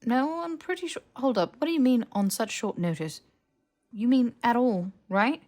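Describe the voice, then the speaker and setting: A woman's voice: fairly high pitch, lively intonation, moderate pace, a little mellow, voice pushed up, lightly acted. A woman voicing a flippant, defensive young man who blurts and stammers, in a fiction audiobook. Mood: alarmed. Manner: stammering, protesting.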